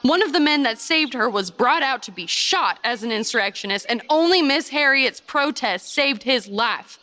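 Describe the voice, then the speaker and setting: A woman playing an earnest, devout 1830s Virginia gentlewoman, giving formal, measured testimony in a historical drama. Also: high-pitched, expressive. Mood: firm, defiant. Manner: formal, measured storytelling, firm.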